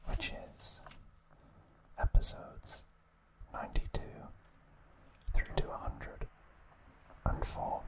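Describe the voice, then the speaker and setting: A man hosting an ASMR video, whispering trivia in a hushed, deliberate manner with pauses. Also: rough recording.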